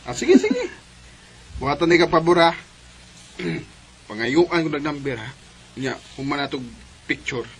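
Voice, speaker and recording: A man's voice: a little high, huge pitch swings, unhurried, pauses often, low-quality recording. An actor playing a teasing, bargaining young man in colloquial radio drama banter, slightly off-mic with some background noise.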